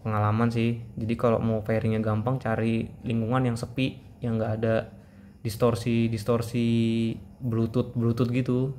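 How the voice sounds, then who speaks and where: A man talks through a product review in a casual, explanatory way. His mid-pitched voice stays quite flat and even. There is some light noise behind him. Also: normal speed. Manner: informal, explanatory, addresses viewers as friends.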